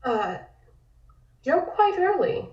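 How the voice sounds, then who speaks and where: A woman's voice: sits high, big pitch swings, slow pace, darkish tone, echoey room, dull audio, exaggerated voice. A woman playing a discreet, uneasy sanitarium orderly in a script table read, polite and hesitant.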